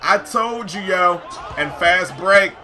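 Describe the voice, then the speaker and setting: A man giving excited, slangy live commentary over basketball video game play, shouting at big plays, his voice getting hoarse. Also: sits high, takes it slowly, over music, crowd noise. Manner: slangy, says "yo" often. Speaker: gaming YouTuber.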